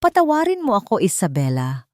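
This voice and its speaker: A woman's voice: medium pitch, huge pitch swings, a little fast, no room sound, studio quality, voice pushed up. A woman voicing a scheming stepmother in a dramatic story, sweet on the surface, cold and desperate underneath, with a resolute manner. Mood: cold resolve. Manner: hushed, resolute.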